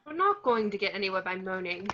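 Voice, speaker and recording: A woman reading a brisk, encouraging woman who coaches a group, in an amateur comedy table read. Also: mid-range voice, very animated, a bit bright, a little hiss or hum. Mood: brisk, instructive. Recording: dull lo-fi home microphone.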